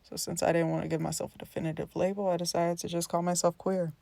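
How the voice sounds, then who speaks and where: Woman voicing a gentle, easygoing young man with a creaky voice. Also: on the low side, flat delivery, quick pace, dry recording. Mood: open, relaxed. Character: warm, supportive queer young man, easygoing friend. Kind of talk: scripted character dialogue, naturalistic.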